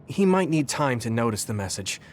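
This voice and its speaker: A man's voice: medium pitch, sing-song, fairly quick, slight background noise, natural voice. A man playing an earnest, caring young military recruit in a video game, sincere and supportive. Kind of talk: English video game voice acting.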